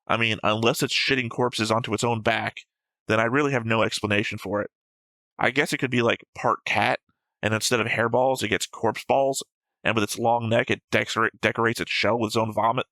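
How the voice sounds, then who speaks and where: Guy with bright gravelly voice, close dry sound, swears a lot, argues bluntly in casual chat. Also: fairly low pitch, steady tone, a little fast, dry recording. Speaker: host of anime reaction show.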